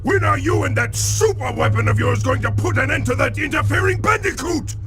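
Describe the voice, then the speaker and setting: A man's voice: very high voice, animated, brisk, shouting, over music. A man playing a tyrannical evil mask spirit in a video game, commanding and impatient. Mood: furious, commanding. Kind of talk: video game cutscene acting.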